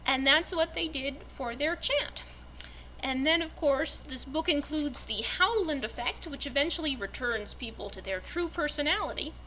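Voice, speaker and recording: A woman's voice: high-pitched, moderate pace, bright, forceful tone, a little hiss or hum, low-quality recording. A woman speaking expressively and with enthusiasm as a fan narrator, in the manner of a dramatic reader. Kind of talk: dramatic reading and casual fan commentary.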